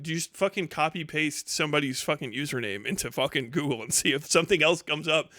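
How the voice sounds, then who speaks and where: A man with a fairly high voice rants off the cuff to his livestream chat. He swears constantly and sounds mocking and animated. The sound is dry and close, as on a streaming mic. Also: no echo. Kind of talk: casual livestream rant to chat.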